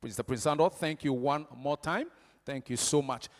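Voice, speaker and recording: A man's voice: medium pitch, moderate pace. A man working as master of ceremonies at an event, speaking emphatically and rousing the audience. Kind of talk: event emcee announcements. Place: large event hall. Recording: reverberant hall, long echo.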